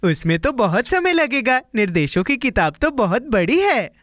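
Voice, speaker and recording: A woman voicing an eager, impulsive little boy in a children's cartoon dub, expressive, with drawn-out exclamations. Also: fairly high pitch. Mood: excited.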